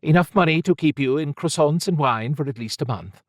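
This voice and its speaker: A tough woman agent in an audiobook story, voiced by a male narrator, lifted in pitch and recorded dry. She is cool and sardonic, needling with pointed, cutting remarks. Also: brisk, no echo, natural voice.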